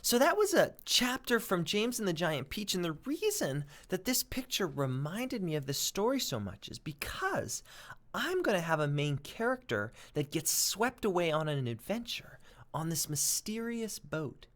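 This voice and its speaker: A man reading aloud as a teacher, expressive and dramatic, with emphatic pauses. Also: high voice. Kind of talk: expressive storybook read-aloud and lesson.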